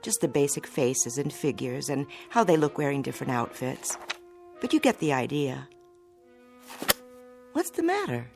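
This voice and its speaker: A woman's voice: very deep voice, very animated, normal speed, creaky, pauses often, a little mellow, soft background music, heavily acted. An actress playing a middle-aged mother in a radio drama, fussing and coaxing with motherly insistence. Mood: puzzled, insistent.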